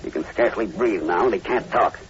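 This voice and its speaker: An actor playing a grim, superstitious plantation overseer with ominous, emphatic delivery in 1940s radio horror style. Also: male voice, lowish voice, a little fast, natural voice. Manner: ominous, emphatic, dramatic storytelling. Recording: muffled, noisy old radio recording.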